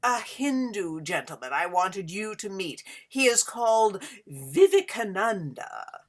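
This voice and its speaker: A woman's voice: medium pitch, sing-song, a little slow. A woman playing a flirtatious Gilded Age society hostess in a period play reading, speaking in an affected, coy and theatrical way. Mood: gracious, showy. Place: indoors, remote online reading.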